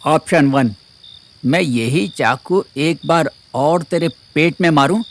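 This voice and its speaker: A man's voice: on the high side, slow. A man playing a cocky, sadistic knife-wielding villain in an audio drama, taunting and sneering with mock politeness. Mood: menacing, teasing. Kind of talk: Hindi audio drama acting.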